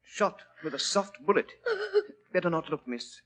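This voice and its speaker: An actor playing a loyal, grave English household servant with a husky voice, formal manner and old-time radio delivery. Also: mid-range voice, expressive, normal speed, a bit bright. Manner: formal, deferential.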